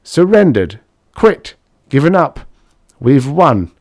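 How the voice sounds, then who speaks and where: A man's voice: fairly high pitch, big pitch swings, slow, a little mellow, dry recording, clean studio sound, voice pushed up. A man voicing a commanding warship skipper in a science fiction audiobook, clipped and authoritative. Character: firm male warship skipper, commanding, secretly soft-hearted.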